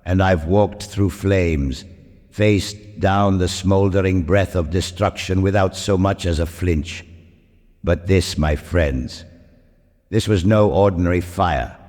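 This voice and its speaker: A haunted, solemn fireman played by a male actor delivers a theatrical monologue in a very low voice. He pauses gravely for dramatic weight. Long hall reverb surrounds him, with faint music underneath. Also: a little mellow. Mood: ominous. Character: haunted veteran fireman, solemn, shaken by a spectre.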